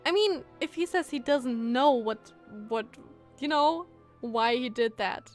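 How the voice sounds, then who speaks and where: A woman streaming a game, giving casual, reactive commentary and thinking out loud. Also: sits high, lively intonation, a little slow. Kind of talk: casual live gameplay commentary. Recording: game music behind the voice.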